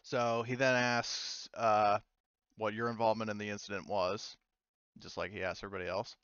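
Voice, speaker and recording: A man running a tabletop game as game master, narrating casually in a rambling, halting way. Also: fairly low pitch, little pitch movement, a bit bright, smooth, no room sound, dull audio.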